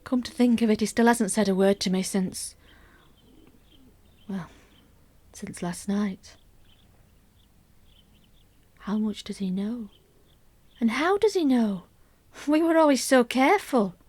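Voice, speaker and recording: An actress playing a wistful middle-aged mother in a radio play monologue, confiding and measured, often dropping almost to a whisper. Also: a little high, animated, darkish tone. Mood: anxious, brooding. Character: middle-aged wife and mother, wistful, secretly grieving a love affair. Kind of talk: intimate dramatic monologue, scripted radio play narration.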